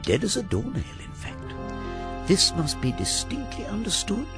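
An actor playing a solemn, deliberate storyteller narrating a ghostly tale in a radio drama. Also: medium pitch, huge pitch swings. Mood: solemn, ominous. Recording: radio drama with music underneath. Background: music.

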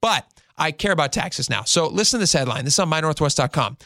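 A man's voice: fairly high pitch, lively intonation, fast talker, pushed and bright, no room sound, clean studio sound. A man in his forties hosting a radio talk show, chatting casually in a rambling way with lots of fillers. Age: 40s. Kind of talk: casual chat.

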